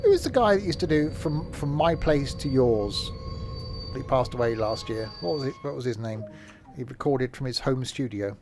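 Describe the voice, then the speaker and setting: A man's voice: medium pitch, expressive, moderate pace, darkish tone, soft background music. A man, chatting casually and rambling with fillers while live-streaming a game.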